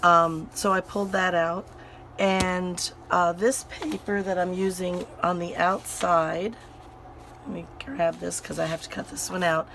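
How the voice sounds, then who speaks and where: Female crafting host, hoarse recovering voice, rambling and unhurried, slight background hiss. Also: fairly low pitch, flat delivery, a bit bright, poor audio. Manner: rambling, full of fillers and restarts. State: hoarse, voice recovering from sinus infection. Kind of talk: casual crafting chat while working.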